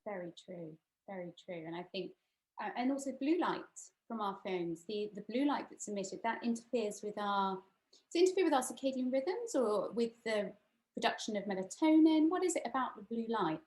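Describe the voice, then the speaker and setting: Woman, podcast host, curious questions, mid pitch, echoey sound. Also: expressive, a little fast, a little mellow. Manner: curious, affirming. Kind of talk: interview questions. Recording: roomy echo.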